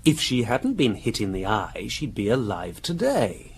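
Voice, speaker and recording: An actor playing a helpful, businesslike investigating officer who explains things plainly in a radio drama. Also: male voice, on the low side, wildly swooping pitch, unhurried, husky, exaggerated voice. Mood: matter-of-fact. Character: helpful, matter-of-fact investigating officer assisting the inspector.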